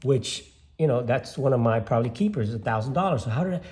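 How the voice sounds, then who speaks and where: A man's voice: mid-range voice, animated, fast, a little mellow, echoey room. An older man from Cuba chatting casually about his collection, rambling with lots of fillers and restarts. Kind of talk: casual show-and-tell vlog.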